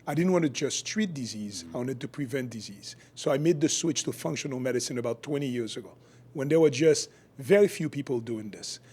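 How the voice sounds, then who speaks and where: A man's voice: mid-range voice, expressive, a little fast, darkish tone, husky. A middle-aged man from Haiti, a physician guest explaining his work and views in a podcast interview. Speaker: guest, functional medicine physician.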